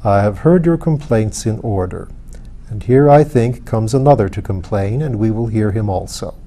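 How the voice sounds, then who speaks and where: A man reading a storybook, playing a mighty, calm presiding figure with a measured, solemn manner. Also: lowish voice, pitched below the performer's own voice. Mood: grave, calm.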